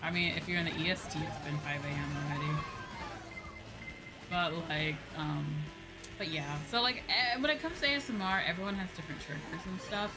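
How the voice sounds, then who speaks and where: A woman's voice: on the low side, brisk, rough recording. A woman streamer chatting casually with viewers as she games, rambling, repeating phrases and swearing freely. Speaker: streamer, VTuber host. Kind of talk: casual chat with viewers while gaming. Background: video game music.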